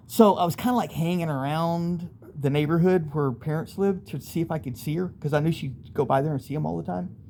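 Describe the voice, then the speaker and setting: A man's voice: a little high, a little mellow, slight background noise. A middle-aged American man telling personal stories casually, in a rambling, candid way with street slang. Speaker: storyteller, channel host. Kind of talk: casual first-person storytelling monologue.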